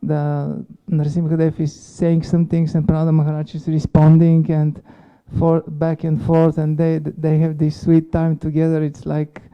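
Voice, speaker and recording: A man sharing a spontaneous devotional reflection as a class participant, halting and repeating his words. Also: fairly high pitch, monotone, clear, resonant tone, highs cut off. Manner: halting, repetitive phrasing.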